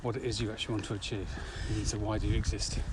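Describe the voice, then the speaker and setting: A man's voice: fairly low pitch, level tone, very fast. A man co-hosting a podcast in casual, reflective discussion, recorded outdoors while walking. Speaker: podcast co-host, corporate trainer. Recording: outdoor recording with faint traffic noise.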